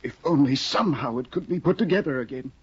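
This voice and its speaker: An actor playing a fussy, earnest scholarly husband in a 1950 radio drama, flustered and hesitant. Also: male voice, medium pitch, animated, fast talker, breathy edge. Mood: mournful. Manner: flustered, hesitant, with many uh's. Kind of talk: 1950s radio drama acting. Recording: dull lo-fi 1950 radio broadcast.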